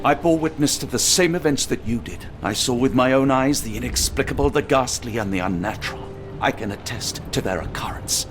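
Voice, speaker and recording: An actor playing a loyal, steadfast companion in a video game, speaking earnestly and formally with a British accent. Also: male voice, medium pitch, fairly quick, natural voice. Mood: reassuring. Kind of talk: dramatic video game voice acting. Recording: music behind the voice. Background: game music.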